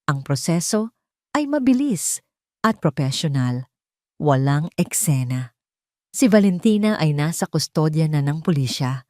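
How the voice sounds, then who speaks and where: A Filipino woman narrates a scripted drama in a fairly low voice. Her storytelling is measured and solemn. The recording is dry and close, with very little room sound. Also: very animated, a little mellow, no echo.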